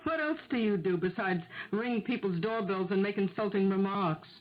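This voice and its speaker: A woman plays a working-class, middle-aged mother, protective and proud, in a 1970s radio drama. She is blunt and fretful, quick to scold and slow to trust. Her mid-pitched voice comes through a muffled vintage broadcast recording. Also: slight background noise, natural voice. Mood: suspicious, defiant.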